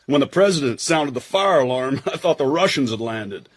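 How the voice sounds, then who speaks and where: A man playing a jaunty American spy in a radio drama. He has a husky mid-pitched voice in a very dry recording, and talks in irreverent, slangy wisecracks with an American accent. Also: expressive, normal speed, no echo, lightly acted. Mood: jaunty, teasing. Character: wisecracking American intelligence agent, jaunty, irreverent old pal.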